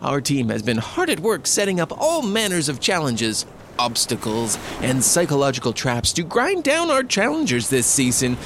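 An actor playing a gleefully sinister, pompous reality game-show host, with theatrical, grandiose announcing. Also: male voice, medium pitch, wildly swooping pitch, moderate pace, a bit bright, slight background noise. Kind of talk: over-the-top reality TV announcing.

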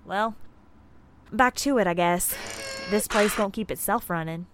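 A woman's voice: mid-range voice, unhurried, slight background noise, natural voice. A woman plays a moonbase maintenance worker in an audio drama. The mid-range voice is casual and rambling, scrappy and goofy with an exasperated edge, as if talking alone on the job. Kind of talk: sci-fi audio drama monologue.

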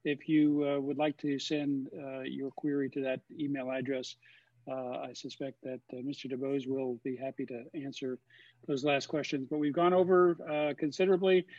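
A man hosting a question-and-answer session, speaking haltingly with many fillers, as if over a video call. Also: mid-range voice, flat delivery, darkish tone, no room sound. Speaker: host of a webinar Q&A. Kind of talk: casual moderated Q&A. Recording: dry, dull lo-fi sound.

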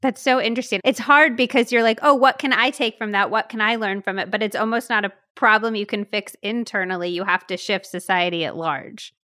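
A woman's voice: mid-range voice, fairly even intonation, fairly quick, few pauses, sharp tone, no room sound, clean studio sound. A woman hosting a podcast, bubbly and effusive as she asks questions and reads an ad. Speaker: podcast host. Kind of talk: enthusiastic interviewing and ad read.

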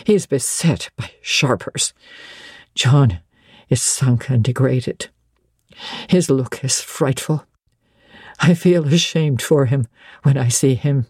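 A narrator playing a bitter, husky ailing older gentlewoman. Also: medium pitch, slow, pauses often, no room sound, studio quality, voice pushed down. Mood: fretful, despairing. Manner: querulous, scornful, agitated muttering.

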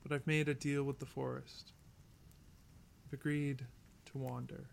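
A man playing a weary, resolute, lovelorn wanderer in an audio drama, narrating their journey with earnest, deliberate phrasing. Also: mid-range voice, flat delivery, gravelly, a little hiss or hum. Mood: solemn, resolute. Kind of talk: audio drama monologue and folk singing. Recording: guitar music behind. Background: acoustic guitar music.

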